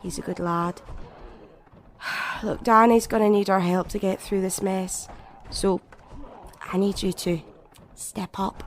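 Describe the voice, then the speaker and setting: Actress as weary woman survivor, unhurried, hesitant, compassionate, audio drama, faint noise. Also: mid-range voice, fairly even intonation, close to an everyday voice. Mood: weary, evasive. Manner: hesitant, sighing.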